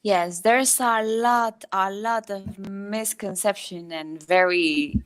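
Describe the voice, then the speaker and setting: A woman from the Republic, answering interview questions in a measured, polite way. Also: mid-range voice, clean tone. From Dominican Republic. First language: Spanish. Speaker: guest, construction business owner.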